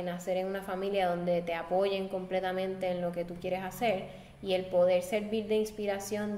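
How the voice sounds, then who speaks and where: A woman's voice: lowish voice, darkish tone, slight background noise. Earnest woman in a reverberant room. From Puerto Rico. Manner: thoughtful, searching for words, earnest. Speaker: guest designer. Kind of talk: reflective interview answers. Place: seated at a table with coffee. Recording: roomy echo with long reverb.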